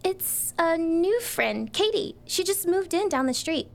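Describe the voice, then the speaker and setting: A woman's voice: extremely high pitch, very animated, a little slow, creaky, full character voice. An actress playing a timid, rule-abiding fourth-grade girl in an audio drama, with a hesitant, stammering delivery. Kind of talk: immersive audio drama acting.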